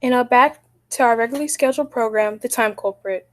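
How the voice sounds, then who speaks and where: A woman's voice: fairly high pitch, fairly quick, no room sound. A woman reading scripted announcements for a radio show.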